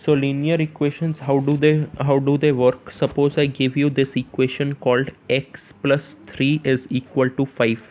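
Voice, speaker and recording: A man's voice: mid-range voice, level tone, moderate pace, few pauses. Indian man tutoring over tinny, phone-like audio. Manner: asks questions and answers them himself; repeats phrases, punctuates with "yes" and "okay". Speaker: maths tutor. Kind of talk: informal spoken maths lesson, step by step. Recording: narrow, tinny phone-like sound.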